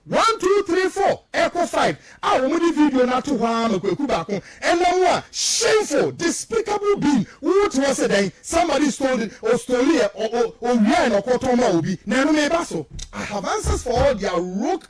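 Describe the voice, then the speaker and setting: A man's voice: extremely high pitch, very animated, fairly quick, few pauses, loud music behind the voice, low-quality recording. A man with a Ghanaian accent giving heated, indignant political commentary on radio, mixing languages and repeating words for emphasis. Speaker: radio show guest commentator.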